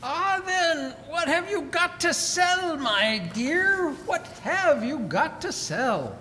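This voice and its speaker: An actor playing a nosy old rag-and-bone dealer in a wheedling, theatrical 1940s radio drama style. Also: male voice, very high-pitched, sing-song, slow pace, pushed and bright, exaggerated voice. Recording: echoey hall, faint background noise.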